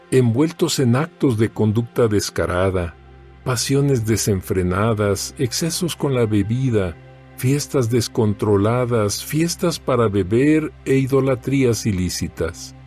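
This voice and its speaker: A man giving a solemn, measured, dramatized reading of scripture as an audio Bible narrator. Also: medium pitch, a little mellow. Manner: solemn, measured, deliberate phrasing. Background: background music.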